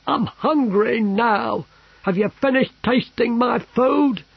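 A man's voice: very high-pitched, slow, vocal fry, breathy edge, dry recording, highs cut off, voice pushed up, full character voice. A man voicing a sulky, pampered young emperor with a whining voice in a storybook reading. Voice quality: whiny.

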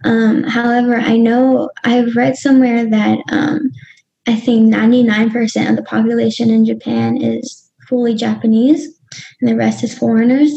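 A woman's voice: on the high side, flat delivery, moderate pace, creaky, warm tone, highs cut off. A young woman talking casually as a podcast guest, hesitant and full of ums and restarts. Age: young adult. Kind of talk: casual interview talk.

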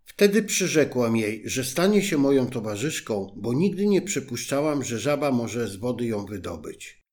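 A man voicing a spoiled, fretful young princess in a fairy tale, with high exclaiming speech. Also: big pitch swings, moderate pace, darkish tone, close to an everyday voice. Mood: anxious.

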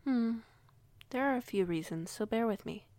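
A woman playing a composed, kindly werewolf pack alpha in an audio roleplay, speaking in a formal, measured, authoritative way. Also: on the high side, expressive, a little mellow, no room sound, close to an everyday voice. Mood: patient, explanatory.

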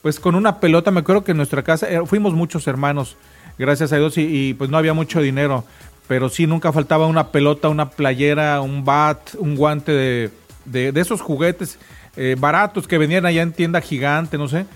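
Higher-pitched Mexican man hosting radio. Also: steady tone, fairly quick. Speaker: radio show host. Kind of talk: casual morning show chat.

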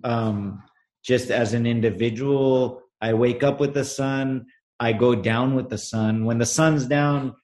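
A man's voice: mid-range voice, fairly even intonation, measured pace, darkish tone. A man from Los Angeles talking in an earnest, reflective way about nature and spirituality in a casual conversation. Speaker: guest, brand co-founder.

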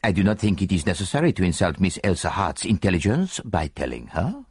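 A man's voice: deep voice, big pitch swings, fairly quick, darkish tone, slightly rough, dry recording, studio quality, exaggerated voice. A man voicing a fussy, precise older male detective, mildly reproving and fond of rhetorical questions. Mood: suave. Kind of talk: audiobook character voice.

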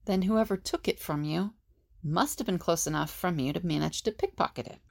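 A woman's voice: on the low side, brisk, vocal fry, a little mellow. A woman playing an earnest, level-headed team leader in an audio drama. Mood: questioning.

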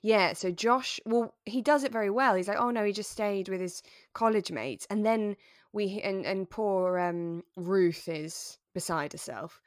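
A woman's voice: medium pitch, unhurried, dry recording. Chatty British woman, close dry sound. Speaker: podcast co-host. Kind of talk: casual chat discussing a radio drama.

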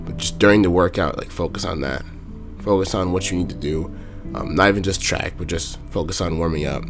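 A young man vlogging casually about his warm-up habits, talking in a monotone, raspy voice with a sore throat. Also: sits low, a little fast, over music. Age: young adult. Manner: talks in loose runs with fillers. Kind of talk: casual ranting vlog.

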